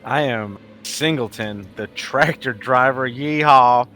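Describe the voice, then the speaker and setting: A man with a bright, sharp voice at medium pitch chats as a tabletop player. He is slangy and jokey, with faint music behind. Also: takes it slowly. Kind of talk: casual joking chat.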